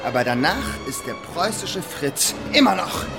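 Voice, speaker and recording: Wry radio play narrator over music. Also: male voice, fairly high pitch, very animated, measured pace. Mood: wry, dramatic. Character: wry narrator recounting Prussian history in boxing metaphors. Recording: music under the voice.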